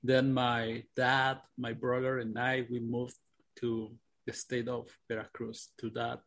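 A young man from Mexico, Spanish his first language, talks in a casual podcast over a remote line. He gropes for words, restarts and repeats phrases as he goes. His pitch sits in an ordinary middle range. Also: monotone, slow pace, a bit bright. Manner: searching for words, repeats himself. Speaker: co-host.

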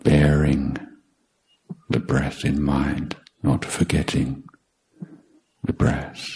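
Male meditation teacher, extremely low gravelly voice, measured delivery in short phrases with long silences. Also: monotone, darkish tone. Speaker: meditation teacher, Buddhist monk. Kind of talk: guided meditation instruction.

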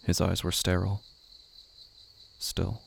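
Deep, very gravelly man narrating horror. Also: completely flat delivery, slow pace, a little hiss or hum. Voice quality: gravelly, creaky. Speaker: narrator of a horror audio drama.

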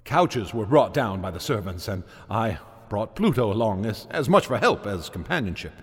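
An actor playing an excitable, haunted older American gentleman in a radio horror drama, speaking in a halting, stammering, agitated way. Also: fairly low pitch, husky. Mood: uneasy, intrigued. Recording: echoey vault reverb, music behind.

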